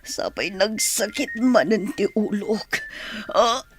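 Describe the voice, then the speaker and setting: An actress playing an ailing, weary mother pining for her son in a radio drama, with a husky voice. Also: mid-range voice, lively intonation, slow pace. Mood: pained, distressed. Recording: music under the voice.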